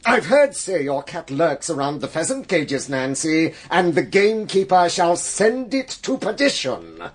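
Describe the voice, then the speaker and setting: A man's voice: a little high, big pitch swings, a little slow. An actor playing a pompous, gallant but harsh English clergyman in a period audio drama, with a formal, haughty manner. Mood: irate, threatening. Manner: formal, haughty, swinging from courtly to irate.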